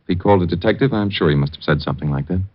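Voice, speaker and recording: A brisk, condescending doctor. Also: male voice, low voice. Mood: explaining. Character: smooth, controlling doctor. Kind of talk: 1940s radio acting. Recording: dull lo-fi old radio recording.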